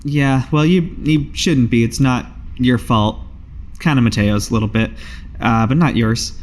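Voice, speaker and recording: A man's voice: medium pitch, a little slow, natural voice. A man voicing a dejected, humble young female druid apprentice in a tabletop game, speaking in a hesitant, subdued way.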